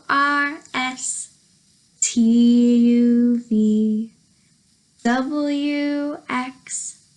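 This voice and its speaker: A young woman reads a picture book to toddlers in a high, bright voice. She speaks very slowly and in a sing-song way, full of excitement and playful whispers. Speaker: children's storytime presenter. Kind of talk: reading a picture book aloud to small children.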